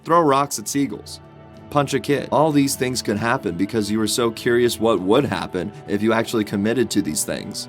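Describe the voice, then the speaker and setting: A man hosting a video essay, reading reflective scripted narration in a casual, sweary way. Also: fairly low pitch. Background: music.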